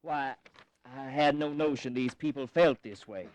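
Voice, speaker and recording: An actor playing an earnest colonial delegate in a historical audio drama, speaking in a formal, measured way. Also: male voice, medium pitch, darkish tone, lightly acted. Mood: surprised. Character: earnest, conceding male North Carolina colonial delegate.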